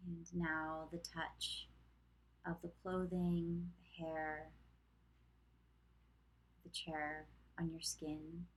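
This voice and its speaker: A woman teaching yoga nidra, leading a guided meditation in a calm, gentle manner with long pauses between short cues. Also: low voice, rough recording.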